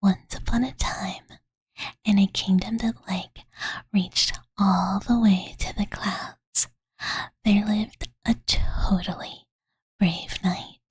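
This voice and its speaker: Woman doing a cute dragon girl asmr, super breathy whisper, slow n playful, kinda ditzy, close mic bedtime story vibe. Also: mid-range voice, fairly even intonation, no echo. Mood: gentle storytelling. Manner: ditzy valley-girl filler talk, cutesy third-person self-reference. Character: cozy, playful, doting young dragon girl. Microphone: close Blue Yeti condenser mic.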